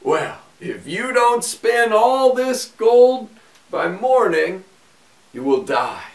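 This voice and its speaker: A man's voice: high-pitched, sing-song, slow, pitched above the performer's own voice, heavily acted. A man voicing a greedy king from a fairy tale who gives harsh orders. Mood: commanding. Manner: commanding. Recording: echoey room, far from mic.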